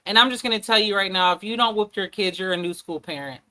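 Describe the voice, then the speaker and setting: Bright-voiced woman hosting a panel. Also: mid-range voice, fast, poor audio. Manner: drawling, teasing, with many ums. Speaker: host. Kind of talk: casual panel discussion.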